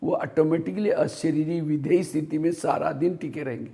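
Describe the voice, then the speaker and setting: A man's voice: on the high side, fairly even intonation, normal speed, creaky, dark tone. A man giving a spiritual class, telling anecdotes and asking rhetorical questions that he answers himself. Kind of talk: Hindi spiritual discourse.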